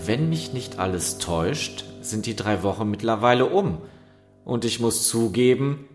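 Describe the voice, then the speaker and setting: An actor playing an earnest, competitive but sensible younger prince in a fairy-tale radio play. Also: male voice, lowish voice, lively intonation, a little slow, music in the background.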